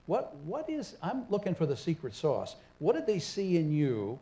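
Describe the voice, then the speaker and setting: A man's voice: a little high, wildly swooping pitch, moderate pace, warm tone. A man hosting an onstage conversation, asking affable, probing questions. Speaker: moderator host. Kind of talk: interviewing onstage. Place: stage before a live audience.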